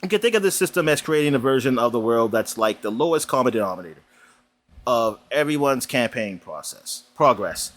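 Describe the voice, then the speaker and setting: A man's voice: mid-range voice, animated, fairly quick, a bit bright. A man co-hosting a gaming podcast, laid-back and crude, laughing often. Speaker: podcast co-host. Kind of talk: casual chat.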